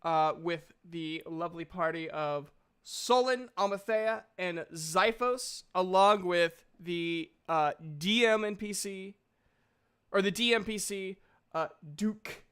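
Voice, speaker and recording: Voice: mid-range voice, takes it slowly, a bit bright, smooth. A game master hosting a livestream, chatting casually and recapping a tabletop game in an informal, sweary way. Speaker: game master and stream host.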